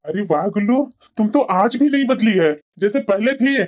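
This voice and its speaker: A woman's voice: medium pitch, lively intonation, moderate pace, lo-fi sound, soft background music, natural voice. A woman voicing an affectionate young man who pleads with an old college friend to meet him.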